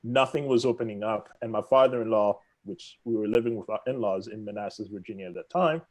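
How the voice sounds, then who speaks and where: A man from the Congo whose first language is French, speaking with his accent as an interview guest, telling his story in an animated, self-deprecating way. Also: lowish voice, fairly quick, rough recording. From Democratic Republic of the Congo. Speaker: guest, real estate executive.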